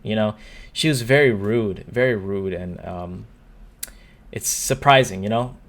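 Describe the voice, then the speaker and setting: A man's voice: fairly low pitch, a little slow. A young man talking to camera in an opinion vlog. He is upset and earnest, and he often trips over and restarts his words. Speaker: YouTuber.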